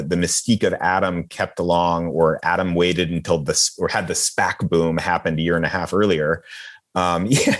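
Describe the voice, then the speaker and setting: A man with a very deep voice answers as a journalist guest. His explanations come in halting bursts, full of fillers and restarts. He talks at length in a casual way. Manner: hesitant, many fillers and restarts, chuckling.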